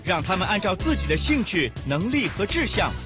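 Lively high male announcer over music. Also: fairly quick. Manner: bright, promotional. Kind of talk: public service announcement.

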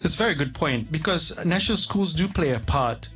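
A man with a Malaysian accent speaks as an interview guest, his voice pitched a little high for a man. He explains patiently and reels off lists of names, stopping often on "uh". Faint music plays quietly underneath. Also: lively intonation, fairly quick. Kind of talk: conversational interview.

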